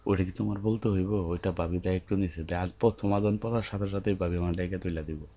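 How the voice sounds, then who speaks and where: A man's voice: deep voice, steady tone, quick pace, dark tone, a little hiss or hum. A man playing a patient, coaxing young newlywed husband in an audio story, with a Bangladeshi accent and a soothing, colloquial way of explaining. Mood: reassuring.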